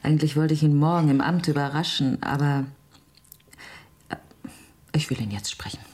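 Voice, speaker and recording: A woman's voice: sits low, moderate pace, darkish tone. An actress in a radio drama playing a spirited, sceptical woman tourist who talks in a candid, impulsive, colloquial way. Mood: puzzled, resolute.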